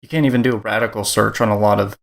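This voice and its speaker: A man with a fairly low voice hosts a podcast, asking questions and adding brief remarks. His delivery is flat and even, with little rise or fall. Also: very fast, gravelly. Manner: brief, with frequent "mm" backchannels. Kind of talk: casual interview questions.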